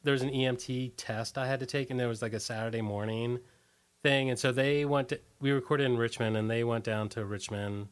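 A middle-aged American man being interviewed, chatting casually in a halting, informal way with "like" sprinkled through his sentences. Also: medium pitch, fairly even intonation, clean tone. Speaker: interview guest, musician.

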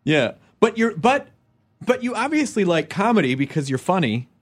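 A man's voice: high-pitched, big pitch swings, normal speed. A man hosting a casual chat, asking questions and joking with his guests. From southern United States. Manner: playful, joking interviewer. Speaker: host.

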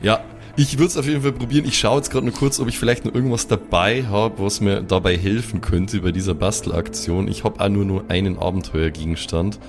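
A man's voice: on the low side, fairly even intonation, brisk, hardly any pauses. A man chatting casually and slangily while improvising as a player in a tabletop role-playing show. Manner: colloquial slangy German with English bits. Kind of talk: casual improvised in-character chat. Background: music.

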